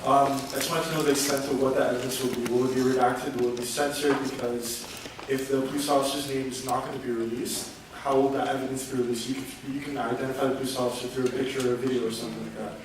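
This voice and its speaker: A man's voice: mid-range voice, level tone, fast, far from the mic. A man in the audience asks direct, pointed questions. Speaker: audience member asking a question. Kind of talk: question from the floor. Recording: echoey hall audio from afar with noise.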